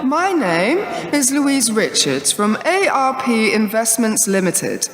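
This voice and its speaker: An actress playing a poised, persuasive corporate woman giving a deliberate public address in a radio drama. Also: on the high side, very animated, crowd noise. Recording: echoey hall, long reverb.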